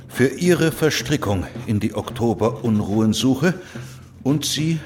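An actor playing a stern, brooding general of the revolutionary era in a German radio drama, speaking formally. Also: male voice, medium pitch, animated, unhurried, music in the background, natural voice. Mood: threatening.

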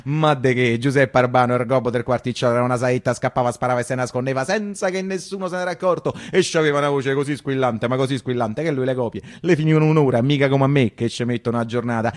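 An actor playing a streetwise, earthy Roman newspaper hawker who tells wartime memories in colloquial, repetitive dialect, as a theatrical radio monologue. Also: male voice, mid-range voice, lightly acted. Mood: animated, nostalgic. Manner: colloquial, repetitive, storytelling with rhetorical questions.

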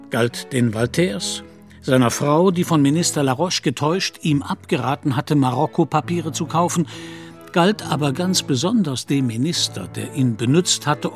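A man narrating a period novel in a radio play, as a measured literary storyteller. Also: medium pitch.

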